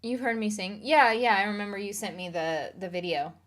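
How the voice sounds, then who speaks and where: A woman's voice: medium pitch, a little fast, fairly bright tone. A woman streamer chatting casually on a live stream, reading viewer comments aloud and laughing along.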